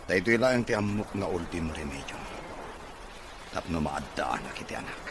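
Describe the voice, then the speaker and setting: Bitter rich son in a radio drama, really low voice, scornful, noisy recording. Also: male voice, expressive, normal speed. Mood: dismissive. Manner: brusque, scornful. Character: bitter, wealthy, distrustful grown son.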